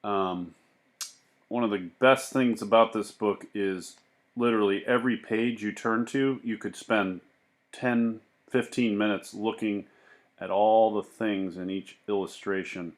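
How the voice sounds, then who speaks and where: A man reading a picture book aloud in an expressive, deliberate way, with casual asides. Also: lowish voice. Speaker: children's book reader.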